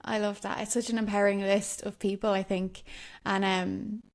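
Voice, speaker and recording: A woman's voice: medium pitch, level tone, normal speed. A woman hosting a podcast, affirming and easygoing, often trailing with "like" and "um". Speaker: podcast host. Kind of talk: casual interview chat.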